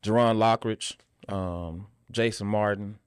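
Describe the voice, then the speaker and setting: A man answering casually in a podcast interview, often halting and repeating words as he thinks aloud. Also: lowish voice, fairly even intonation, clear, resonant tone, dry recording, studio quality. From Memphis, southern United States. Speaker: guest, actor and filmmaker.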